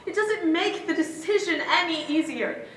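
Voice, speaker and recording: A woman's voice: very high voice, moderate pace, a bit bright. A woman playing an anguished, haunted young woman in a theatrical stage monologue. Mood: reflective, grave. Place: stage in a hall. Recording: echoey stage hall, faint noise.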